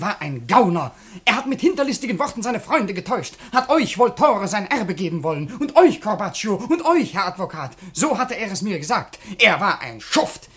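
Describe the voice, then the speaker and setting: A scheming servant who has come out on top, in a theatrical radio drama. The voice is high and swoops expressively. He declaims with silky mockery. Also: fairly bright tone. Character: sly, triumphant male servant turned heir, mocking schemer. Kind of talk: theatrical German radio play acting.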